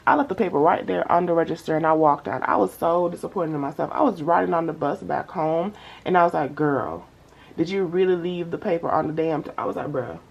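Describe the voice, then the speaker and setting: A young woman vlogs in a loose, slangy chat, calling her viewers y'all. Her nose sounds stuffy, and her voice sits low with a gravelly, husky edge. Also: fairly quick, highs cut off. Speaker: budgeting vlogger. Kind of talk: casual chat to camera.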